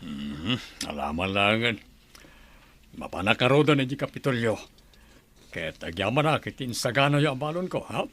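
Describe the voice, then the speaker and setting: Animated man playing a fatherly governor. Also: mid-range voice, moderate pace, long pauses. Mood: calm, authoritative. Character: fatherly, principled newly elected governor. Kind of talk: Ilocano audio drama acting.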